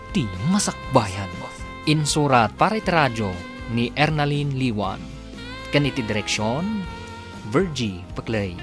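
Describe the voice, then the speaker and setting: A man with an Ilocano accent doing scripted announcing for a radio drama. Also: mid-range voice. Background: music with guitar.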